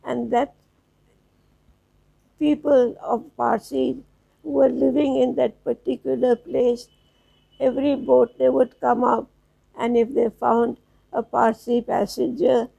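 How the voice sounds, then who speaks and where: A woman in her nineties from South Africa, reminiscing slowly as an interview guest, with halting restarts. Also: fairly high pitch, fairly even intonation, mellow, muffled recording. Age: 90s. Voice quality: gravelly, husky. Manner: slow, halting storytelling with restarts.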